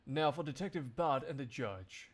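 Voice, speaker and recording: A man voicing a composed young male prosecutor with measured, formal politeness in a game fandub. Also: mid-range voice, expressive, a little hiss or hum, close to an everyday voice.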